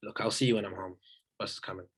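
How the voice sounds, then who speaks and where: A man's voice: sits low, steady tone, quick pace, breathy edge. A man playing a guarded, weary brother in a drama table read, speaking tersely in casual slang. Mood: weary, wistful. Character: guarded, weary brother, stubborn and self-reliant. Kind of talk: scripted table read acting.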